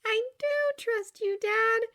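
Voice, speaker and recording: A woman's voice: very high voice, slow, vocal fry, pitched above the performer's own voice, heavily acted. A woman voicing an earnest, caring young daughter, anxious and exclamatory in her manner. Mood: gentle doubt. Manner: bright, exclamatory, fussing.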